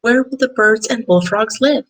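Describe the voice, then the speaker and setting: A woman voicing a curious mother in a picture-book read-aloud, asking gentle questions. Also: a little high, normal speed, voice pushed up, close to an everyday voice. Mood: questioning.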